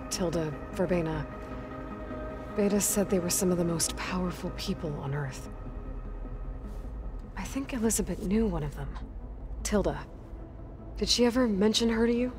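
A woman's voice: normal speed, long pauses, over music, natural voice. A woman playing an earnest young female adventurer in a video game, talking in a plain, thoughtful way. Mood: thoughtful, questioning. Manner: plain-spoken, thoughtful, low-key. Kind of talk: scripted video game dialogue.

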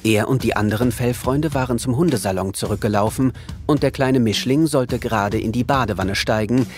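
A male narrator tells a children's audio story in a medium-pitched voice. Music plays clearly behind him. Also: a little fast, a little mellow.

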